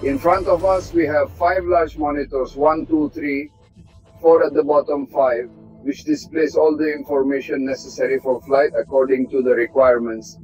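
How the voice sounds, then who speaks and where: A middle-aged man, a test pilot from Russia, giving a measured, technical tour of an aircraft cockpit. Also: fairly high pitch, fairly even intonation, a little mellow. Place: aircraft cockpit inside a hangar. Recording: slightly dull sound, faint music behind.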